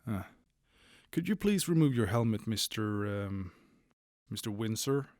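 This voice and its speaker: A man's voice: on the low side, animated, measured pace, a little mellow, no room sound, clean studio sound. A man playing a petty, officious military major in an audio drama, hesitant and bureaucratic as he gives orders. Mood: brisk, formal. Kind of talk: post-apocalyptic audio drama acting.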